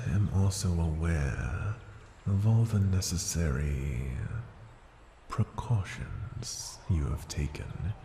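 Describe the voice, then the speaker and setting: A man playing a proud, ancient dragon in an ASMR fantasy roleplay, speaking formally and deliberately in a gravelly, at times breathy voice. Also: a bass voice, warm tone, full character voice. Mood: knowing, calm. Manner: slow, formal, deliberate, imperious. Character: ancient male dragon, proud, unhurried, intrigued by humans. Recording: cavernous long reverb, faint ambient noise.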